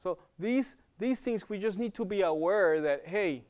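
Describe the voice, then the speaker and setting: Male lecturer with an accent, slightly high and smooth, teaching a live class in a casual, searching way. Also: animated, unhurried, a little mellow. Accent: non-native English. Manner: conversational, checks in with "okay?" and repeats words while thinking. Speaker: health program lecturer.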